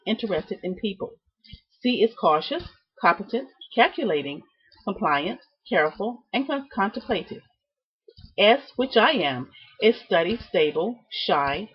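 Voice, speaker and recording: A woman giving a prepared student presentation, reading from notes with deliberate, emphatic phrasing and halting repeats. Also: medium pitch, slightly rough. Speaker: student presenting a class project. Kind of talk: prepared speech, read from notes. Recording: dull, muffled lo-fi sound.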